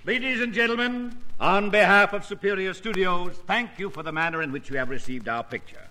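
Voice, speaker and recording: A high, forceful male voice as a formal, showy master of ceremonies. He presents in grand 1950s radio style. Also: fairly quick. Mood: formal, proud.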